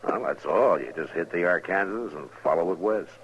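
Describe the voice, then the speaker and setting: An actor playing a weary, authoritative American frontier marshal, laconic and dry, in a 1950s radio western. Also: male voice, low-pitched, lively intonation, moderate pace. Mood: wry. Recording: hissy muffled 1950s radio recording.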